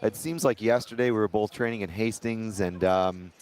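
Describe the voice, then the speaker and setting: A man's voice: on the low side, normal speed, a little mellow. A man interviewing an old friend, asking friendly, rambling questions full of fillers. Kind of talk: conversational interview.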